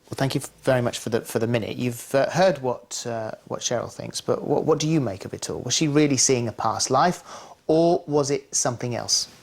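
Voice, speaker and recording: A male presenter interviews a guest for television. His questions come out haltingly, full of restarts. His voice sits at an everyday middle pitch. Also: gravelly. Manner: hesitant, many fillers and restarts.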